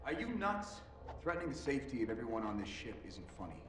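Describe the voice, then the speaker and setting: A man's voice: mid-range voice, very animated, fast, close to an everyday voice. A man acting an earnest, scolding soldier hero in a game cutscene. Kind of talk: animated game cutscene dialogue. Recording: distant, echoey, noisy.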